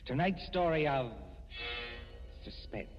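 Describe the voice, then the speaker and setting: A man announcing a 1940s radio suspense show in a formal, measured, dramatic manner. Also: a little high. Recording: dull, reverberant old radio recording. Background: music.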